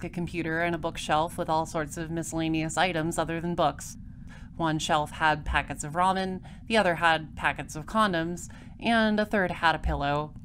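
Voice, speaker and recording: A woman playing a patient, composed detective, narrating an audio drama in a measured, wry way. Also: lowish voice, a bit bright, slight background noise, close to an everyday voice. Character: composed, patient female space-patrol detective narrating her case.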